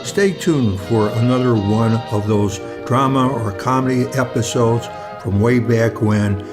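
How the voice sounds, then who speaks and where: A man's voice: medium pitch, measured pace. A man reading a scripted introduction as announcer for an old-time radio show. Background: prominent music.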